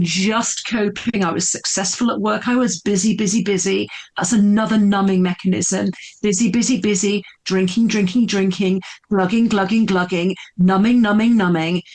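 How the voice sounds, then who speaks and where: A woman's voice: medium pitch, steady tone, moderate pace, a little mellow. A middle-aged woman, a podcast guest telling her story in an earnest, emphatic way, often repeating words for effect. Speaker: podcast guest, speaker and coach. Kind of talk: candid storytelling interview.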